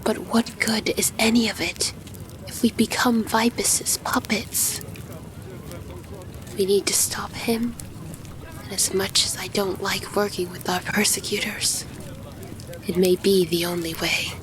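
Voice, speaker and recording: A woman playing a devoted, resolute consort in a fantasy audio drama, speaking in an earnest, measured way. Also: medium pitch, fairly even intonation, in a whisper, natural voice. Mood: earnest, grave.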